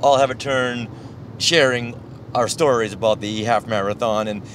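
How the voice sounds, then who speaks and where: A man's voice: medium pitch, flat delivery, normal speed, a bit bright. A man co-hosting a podcast with casual, joking banter, recorded inside a moving car. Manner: playful, joking. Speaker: podcast co-host. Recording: faint car noise behind voice. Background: faint vehicle and road noise.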